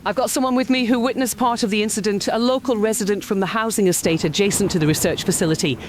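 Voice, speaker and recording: A woman's voice: mid-range voice, fast, background noise, close to an everyday voice. A woman acting as a serious news reporter in an audio drama. She delivers a scripted field report with formal, precise and controlled phrasing. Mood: grave, controlled.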